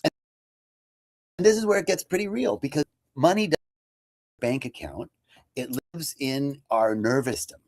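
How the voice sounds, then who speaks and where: A man's voice: mid-range voice, unhurried, long pauses, a little mellow. Casual, conversational male spiritual teacher. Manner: casual and conversational, with lots of fillers. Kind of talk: inspirational livestream talk.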